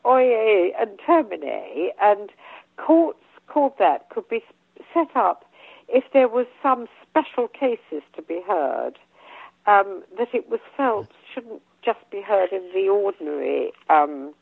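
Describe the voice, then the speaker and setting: A woman's voice: mid-range voice, sing-song, takes it slowly, creaky, slightly rough, no echo. Older English woman on a phone line. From England. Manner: hesitant, many ums and restarts. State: losing her voice. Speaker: guest author. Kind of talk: casual interview talk.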